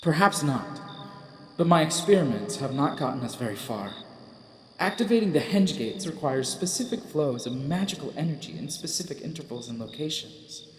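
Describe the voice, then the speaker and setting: A man's voice: a little high, darkish tone. A man voicing a frail telepathic professor with an echoing mind-voice. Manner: courteous, precise, scholarly. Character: frail hairless male scholar speaking mind to mind. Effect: reverb on the telepathic voice. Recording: roomy hall-like reverb.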